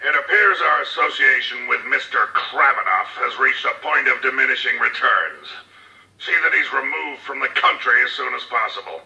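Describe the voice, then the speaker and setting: An actor playing a cold, calculating crime boss tycoon dictating orders in a measured, menacing, condescending way for a video game audio log. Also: male voice, medium pitch, expressive, bright, forceful tone. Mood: cold, scheming. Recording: roomy, slightly distant audio log.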